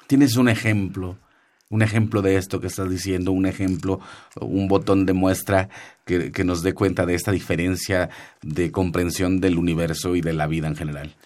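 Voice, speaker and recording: A man's voice: low voice, fairly even intonation, normal speed, darkish tone. A man hosting a radio interview, asking his guest questions. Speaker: radio host.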